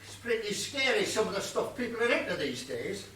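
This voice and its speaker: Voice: medium pitch, very animated, fairly quick, a bit bright. An actor playing a muddled, literal-minded gardener in a comic stage play, stammering and earnestly deferential as the character is questioned. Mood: earnest, pleading. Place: stage of a small theatre. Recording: distant echoey theatre recording, faint noise.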